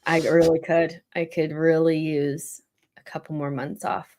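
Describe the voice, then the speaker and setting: A woman's voice: sits low, little pitch movement, a little slow, darkish tone, slight background noise. A woman hosting a casual football chat, blunt and pessimistic. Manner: blunt, pessimistic fan venting. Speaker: host.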